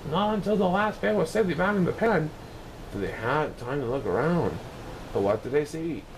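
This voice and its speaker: Male storybook reader, fairly high voice with lively swings in pitch, scripted children's narration, audible background noise. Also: normal speed, poor audio.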